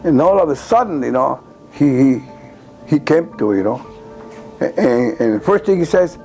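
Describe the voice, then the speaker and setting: An older man from Texas recalls events informally as an eyewitness, halting and repeating himself as he tells it. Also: mid-range voice, faint music behind. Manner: halting, repetitive, animated storytelling with "man" and "you know". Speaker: eyewitness interviewee. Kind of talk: informal spoken recollection.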